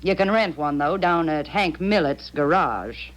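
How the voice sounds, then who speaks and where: An actress playing a crafty older aunt with a rural American accent in a radio drama, folksy and wary. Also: female voice, low voice, animated, measured pace. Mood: wary, decisive. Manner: folksy, plain-spoken, wary. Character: crafty rustic old aunt. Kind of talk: 1970s radio drama acting. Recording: dull old radio broadcast.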